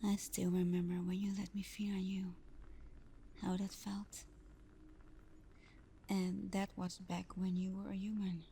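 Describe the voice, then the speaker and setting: Woman doing a seductive vampire gf for asmr roleplay, real soft n breathy, super slow, mellow dark tone, close on the mic. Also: medium pitch, little pitch movement, slight background noise. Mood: tender, seductive. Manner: soft, breathy, half-whispered, unhurried.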